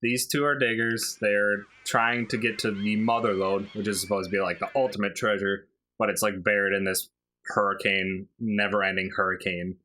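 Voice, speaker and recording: A man with a fairly low, bright voice talks casually over game cutscenes. He explains things in loose, filler-filled asides, like a friend walking you through it. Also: flat delivery, moderate pace. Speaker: gaming video commentator.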